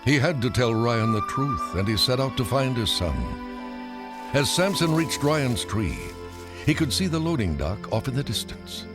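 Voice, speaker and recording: A man's voice: mid-range voice, very animated, moderate pace. Male storybook narrator over music. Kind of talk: scripted children's read-along story narration.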